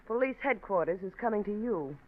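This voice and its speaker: Sardonic woman journalist for old radio crime drama, played by an actress; pointed, animated, muffled period recording. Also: medium pitch, brisk, lightly acted. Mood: dryly accusing. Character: knowing, sardonic woman newspaper colleague, quietly accusing. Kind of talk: 1940s radio mystery acting.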